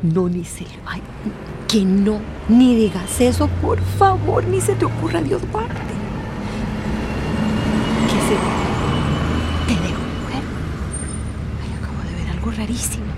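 A woman's voice: on the high side, big pitch swings, measured pace, pauses often, very noisy. An actress playing a nosy, gossipy older village woman, fussy and scandalized, in a radio drama. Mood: hushed, alarmed.

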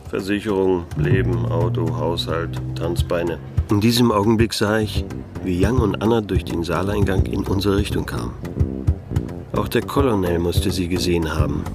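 A hard-boiled private eye in a radio drama. His voice is low and his delivery laconic, dryly ironic and world-weary, with music playing underneath. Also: normal speed. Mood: guarded, dry. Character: disillusioned private detective narrating his case, dry and world-weary. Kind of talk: noir radio play narration and dialogue.

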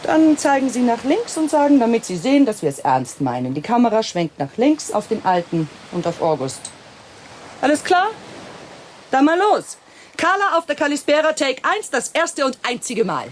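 A woman's voice: high voice, very animated, normal speed, slight background noise. An actress playing a cold, greedy female villain in a radio play, giving orders with sardonic, mock-polite menace. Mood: cold, commanding.

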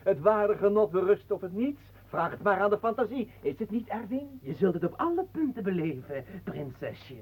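An actor playing a sly, teasing gentleman showman in a radio drama, theatrical, singsong and mock-courteous. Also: high voice, very animated, moderate pace, breathy edge, phone-quality sound, heavily acted.

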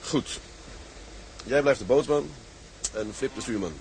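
An actor playing an earnest, weary sea captain in a radio drama, speaking in a sober, measured, plain way. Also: male voice, mid-range voice, darkish tone, slight background noise, close to an everyday voice, poor audio. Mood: resolute. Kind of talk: Dutch radio drama acting.

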